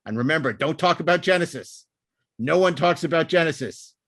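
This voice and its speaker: A man's voice: a little high, expressive, brisk, heavy background noise. A man hosting a podcast in casual, enthusiastic chat, rambling with repeated words and stop-start phrasing. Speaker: podcast host.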